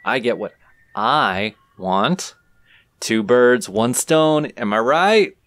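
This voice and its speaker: A man playing an unhurried, easygoing, teasing adventurer. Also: mid-range voice, a bit bright, no room sound. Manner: casual, reassuring, playfully cocky. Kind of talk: audio roleplay, speaking directly to the listener.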